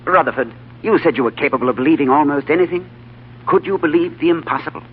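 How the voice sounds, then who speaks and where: An actor playing a quiet, haunted Englishman who is recovering his memory, speaking softly and hesitantly. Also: on the high side, quick pace, slight background noise, lightly acted. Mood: troubled. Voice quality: soft, hesitant. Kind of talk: 1930s radio drama acting. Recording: narrow lo-fi old radio broadcast.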